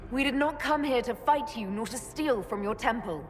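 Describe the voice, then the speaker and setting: A woman's voice: fairly high pitch, lively intonation, moderate pace, close to an everyday voice. A woman playing a direct, composed elf mage leader in a fantasy game, plain-spoken and controlled. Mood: firm, diplomatic. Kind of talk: fantasy game dialogue acting. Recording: long hall reverb.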